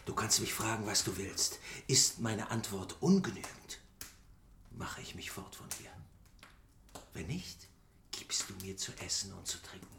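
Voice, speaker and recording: An actor playing a mysterious, knowing wandering god in disguise in a fantasy radio drama, measured and portentous, with a breathy edge. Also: male voice, fairly low pitch, animated, fairly bright tone, a little hiss or hum, heavily acted. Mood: coaxing.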